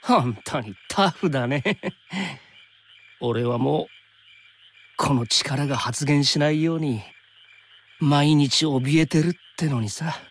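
An actor playing an easygoing young time traveler in a Japanese anime game, chatting in casual slang with an undercurrent of worry. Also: male voice, fairly high pitch, slow, pauses often, breathy edge. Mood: uneasy.

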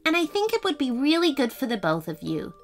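A bold young boy, acted by a woman questions and challenges in a high, lively voice. He is a curious, forthright storybook hero. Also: a little fast, soft background music, heavily acted. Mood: insistent. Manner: direct, incredulous, challenging.

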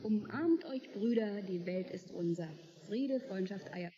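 An actress playing a sharp-tongued, skeptical young woman in a radio play, dry and probing in her delivery. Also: medium pitch, measured pace, natural voice. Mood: sardonic. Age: young adult. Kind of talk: German radio play dialogue. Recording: dull, slightly noisy radio drama recording.

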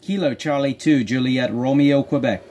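A man's voice: medium pitch, moderate pace. A man who operates amateur radio, speaking casually and calling out call signs. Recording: clear background hiss and radio noise. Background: ham radio static and chatter.

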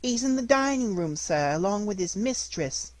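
Polite young housemaid, done by a male narrator in a high voice. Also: animated, normal speed, no echo. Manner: polite, helpful.

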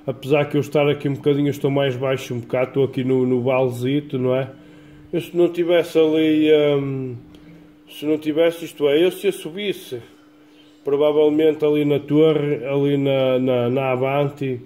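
A man's voice: fairly high pitch, fairly even intonation, normal speed, warm tone. A man chatting casually over the radio in a colloquial, folksy way. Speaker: amateur CB radio operator. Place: small home radio shack. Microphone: phone filming the radio, off-camera speaker. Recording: clear background noise, home-made phone recording.

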